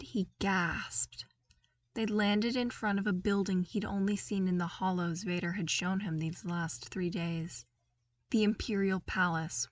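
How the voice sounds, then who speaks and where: Expressive woman reading fiction, slightly distant mic. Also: mid-range voice, no room sound. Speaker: podfic reader. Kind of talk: expressive scripted fiction narration.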